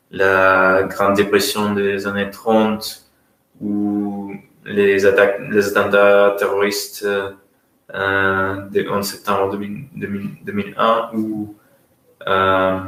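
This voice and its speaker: A man from Russia with a Russian accent speaks French as a guest economist at a conference, often pausing to search for words. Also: on the low side, fairly bright tone. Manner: hesitant, searching for words, many fillers and restarts. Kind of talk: conference talk. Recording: very echoey room.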